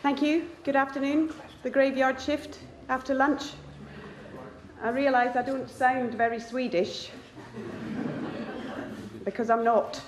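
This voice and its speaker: A woman's voice: high-pitched, fairly even intonation, takes it slowly, long pauses, noisy background. A woman, a nature conservationist, giving a conference talk in a chatty, self-deprecating way. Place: lecture hall. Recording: roomy hall sound.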